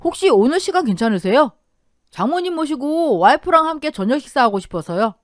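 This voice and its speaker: A woman's voice: high voice, very animated, brisk, dry recording, studio quality, pitched above the performer's own voice. A woman narrator voicing a polite young son-in-law, deferential and earnest. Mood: respectful.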